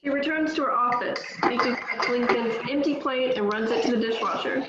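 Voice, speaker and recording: A woman's voice: on the high side, brisk. Woman reading stage directions, echoey. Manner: steady, matter-of-fact reading. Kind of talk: table read narration. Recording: echoey, dull, faint noise.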